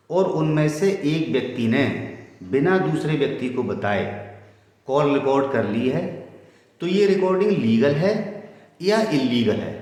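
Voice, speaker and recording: A middle-aged man explains legal matters to viewers in a formal, deliberate way, repeating points for emphasis. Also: mid-range voice, darkish tone. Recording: very echoey room with long reverb.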